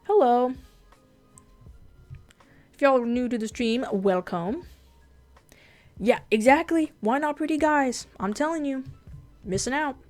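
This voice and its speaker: A woman whose first language is Japanese, with a fairly deep voice, chatting casually and bluntly on a drawing livestream, swearing freely. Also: measured pace, pauses often, a little mellow, soft background music. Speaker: streamer and artist.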